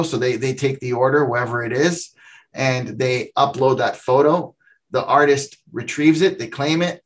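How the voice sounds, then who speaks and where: A man's voice: medium pitch, fairly bright tone. A middle-aged man, a company founder, giving a long explanatory answer on a video call from his home office, talking with his hands.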